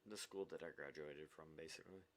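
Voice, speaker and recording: A woman's voice: rumbling low, fast talker, slight background noise. A woman co-hosting a game playthrough with casual, wry, joking banter. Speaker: let's-play co-host.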